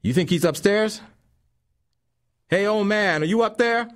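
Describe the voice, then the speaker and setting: A man doing loud, rough street thugs. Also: high voice, measured pace, voice pushed up. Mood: menacing. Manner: shouting, rough street talk. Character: menacing street thug men, rough and threatening.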